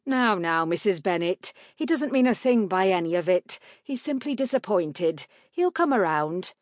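A woman voicing a kindly female housekeeper with a gentle, reassuring manner in an audiobook. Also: mid-range voice, normal speed, no echo, clean studio sound, voice pushed up, natural voice. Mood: soothing.

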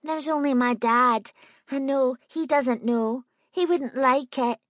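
A stubborn nine-year-old girl, nervous underneath but bossy and matter-of-fact, as a woman reads her aloud. The voice sits high and comes across dry and close. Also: slow, no echo. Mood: insistent.

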